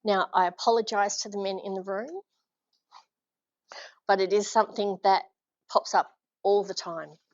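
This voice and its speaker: A woman's voice: mid-range voice, fairly even intonation, moderate pace, long pauses, no room sound. An Australian woman gives a live leadership talk with energy. She draws the room in with questions and encouragement. The sound is dull and dry. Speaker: leadership speaker. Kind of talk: live presentation to a small audience. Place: function room with an audience.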